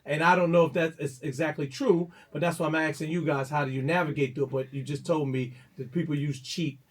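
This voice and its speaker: A man, a radio host and DJ, telling stories in a rambling, halting way with many restarts during a casual interview. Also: on the high side, fairly even intonation.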